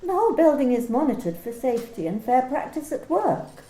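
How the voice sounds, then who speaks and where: A cold, controlling company manager, as a woman acts her out, clipped and coolly formal. Her voice sits high and swings widely in pitch but has a mellow, dark tone. It is recorded in an echoey room at home, like an amateur radio play. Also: big pitch swings, brisk. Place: home during lockdown.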